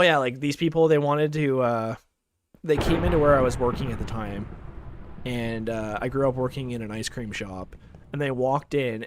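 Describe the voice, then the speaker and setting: A man's voice: medium pitch, slight background noise. A young Canadian man chatting casually on a live game stream, rambling through stories with lots of fillers and reading chat messages aloud. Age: young adult.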